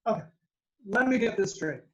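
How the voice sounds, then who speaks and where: A man reading the part of a blunt, logical father at a screenplay table read, brisk and matter-of-fact. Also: sits high, lively intonation, dull audio.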